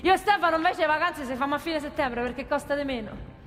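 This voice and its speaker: A woman's voice: high-pitched, fast talker, a bit bright, big-room sound. An actress playing a plain-spoken, desperate working-class woman with a Roman accent in a theatrical monologue. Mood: wry storytelling. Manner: plain-spoken, ungrammatical, earthy. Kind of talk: theatrical monologue in colloquial dialect.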